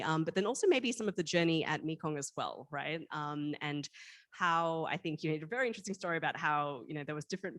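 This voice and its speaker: A woman's voice: sits low, no echo. A woman moderating a panel in a conversational, polite and somewhat tentative way.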